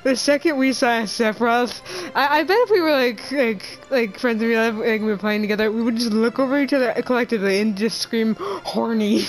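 High-voiced, giggly young woman gamer. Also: a little fast, few pauses, rough recording. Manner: giggly, excitable, rambling. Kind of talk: casual chat over gameplay.

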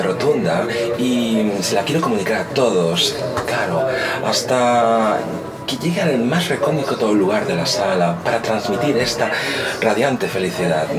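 A man with a Spanish accent from Spain interpreting live, in halting but effusive phrases. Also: fairly low pitch. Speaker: simultaneous interpreter. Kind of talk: live interpreting, voice-over of a video. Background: loud music behind the voice.

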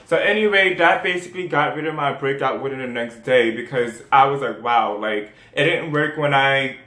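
A man's voice: medium pitch, normal speed, sharp tone. A young man with a New York accent talks through a home skincare routine in a loose, rambling way, full of "um" and "like" as he restarts his sentences. He speaks close to the camera in a small room with bare walls, which gives his voice a noticeable echo. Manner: rambling, chatty, many fillers and restarts. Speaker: skincare vlogger. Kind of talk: casual how-to vlog.

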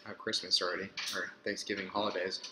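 Twenty-something guy rambling on his live stream, kinda low voice, noisy echoey room. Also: level tone, fast talker. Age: 20s. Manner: rambling, chatty, answers viewer comments. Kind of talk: casual live-stream chat. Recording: echoey room with background noise.

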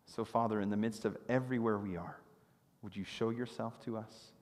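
A man's voice: on the low side, fairly quick. Man preaching in a reverberant sanctuary. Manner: animated storytelling, gesturing while preaching. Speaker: pastor. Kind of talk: sermon. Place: church sanctuary stage. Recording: long reverb of a church hall.

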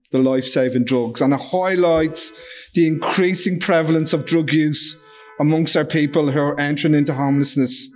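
Man in his fifties, loud voice, slightly high pitch, formal speech at a podium, podium microphone, large function room. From Ireland. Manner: measured, formal delivery with occasional stumbles and fillers. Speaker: charity executive giving a speech. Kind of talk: reading a prepared speech at a podium.

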